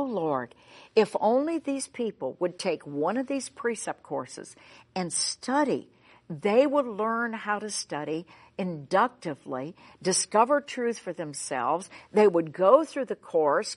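Woman, middle-aged, American, Bible teacher, sermon storytelling, emphatic, lively delivery. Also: mid-range voice. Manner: animated, emphatic, acts out dialogue.

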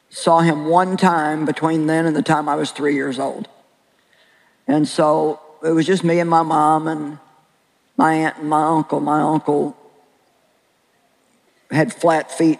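An older woman with a very deep voice preaching a sermon and sharing her own story in an earnest, plain-spoken way with slow, deliberate pauses. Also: darkish tone, in a large hall, rough recording. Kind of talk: sermon with personal testimony. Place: large hall with an audience.

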